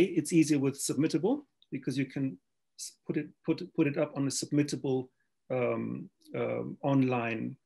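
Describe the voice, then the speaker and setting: A man with a gravelly, husky voice whose first language is German talks over a video call. He speaks hesitantly, circling back and repeating words as he gathers his thoughts. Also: medium pitch, darkish tone. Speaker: panelist translator. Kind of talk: panel discussion on video call.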